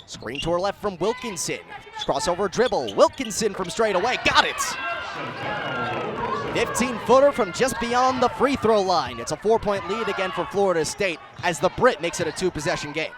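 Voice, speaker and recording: A man's voice: sits high, animated, moderate pace, over music. A young American man in his twenties calling live sports play-by-play as a broadcaster. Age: 20s. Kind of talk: sports commentary and scripted sports update.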